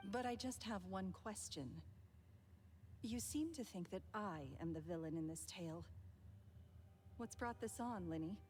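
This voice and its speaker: Actress doing a smug polished villain lady, coolly mocking, mid pitch, anime game, faint music. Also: a little mellow, natural voice. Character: poised, coolly mocking young villainess feigning innocence.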